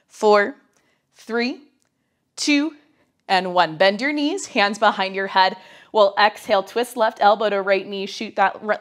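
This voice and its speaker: A young woman in her twenties with a nasal, cold-stuffed voice, coaching a mat pilates workout while doing it herself, a little breathless, chatty and encouraging as she counts down. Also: medium pitch. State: getting over a cold, slightly breathless from exercise. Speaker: pilates instructor. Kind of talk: guided workout instruction. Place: small home studio, indoors.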